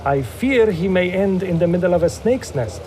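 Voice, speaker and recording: An actor playing a gentle, thoughtful scholar who welcomes a guest kindly. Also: male voice, sits high, lively intonation, normal speed, a crowd in the background. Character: gentle male scholar and hidden brother.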